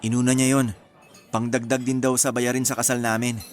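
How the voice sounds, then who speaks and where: A man's voice: medium pitch, little pitch movement, brisk, natural voice. A man with a Filipino accent playing a joking, clueless, easygoing groom-to-be in a radio drama, with music behind him. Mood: casual.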